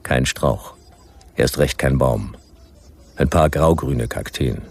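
The bottomless, creaky-voiced private eye of a late-night sci-fi radio serial, terse, weary and deadpan. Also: male voice, rumbling low, takes it slowly, full character voice. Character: laconic, world-weary male private detective of the future. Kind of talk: first-person radio drama narration.

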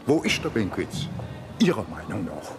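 Blunt cop with lively pitch. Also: male voice, normal speed, background noise. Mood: probing, blunt. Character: blunt, grumbling male German police detective. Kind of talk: colloquial radio drama acting. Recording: music behind the voice.

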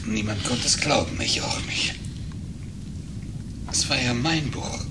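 An actor playing an agitated, embittered failed writer in a German radio play, speaking haltingly and accusingly. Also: male voice, mid-range voice, natural voice. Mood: bitter, halting. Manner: halting, emotionally wrought, accusing. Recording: noisy 1980s radio play recording.

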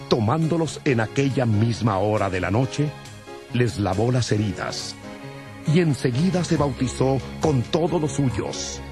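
A man delivers scripted dramatic scripture narration. His voice is mid-pitched, with music playing behind him.

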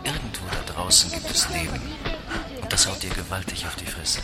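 An actor playing a seedy, menacing advertising announcer for a game zone in a radio drama, ominous and teasing. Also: male voice, low-pitched, a little fast, loud music behind the voice, over a noisy crowd, natural voice. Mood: ominous, luring.

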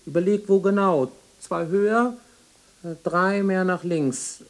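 An actor playing an irritable, obsessive male archivist in a radio play, gruff and clipped as he mutters and orders. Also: high-pitched, unhurried. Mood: barking, impatient. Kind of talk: 1990s German radio play acting.

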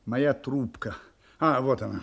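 An actor playing a shrewd, ironically polite detective in an audio drama, questioning briskly with wry murmurs. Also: male voice, medium pitch, gravelly, slightly rough. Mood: weary, wry. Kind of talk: Russian audio drama acting.

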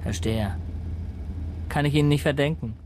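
An actor playing a sensitive young ex-convict in a radio drama, speaking precisely, touchy and quick to correct. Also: male voice, medium pitch, close to an everyday voice. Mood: subdued. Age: young adult.

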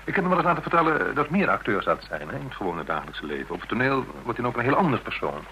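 A man's voice: mid-range voice, very animated, rapid-fire, pushed and bright, a little hiss or hum, low-quality recording. An actor playing a flustered, well-meaning man in a radio play, loyal, somewhat formal and hesitant, stammering as he talks. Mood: hesitant, awkward.

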